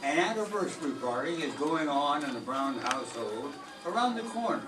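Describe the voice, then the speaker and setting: A man's voice: on the high side, sing-song, normal speed, music in the background. An older man reading as announcer and narrator of old-time radio plays. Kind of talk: scripted 1940s radio announcing. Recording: echoey distant mic, clear background noise.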